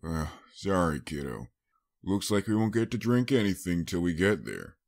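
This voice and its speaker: A man's voice: low-pitched, big pitch swings, normal speed, creaky, a little mellow, full character voice. A man voicing a good-natured, fatherly older stallion with a folksy, easygoing, slightly boastful manner in a storybook reading. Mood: sheepish.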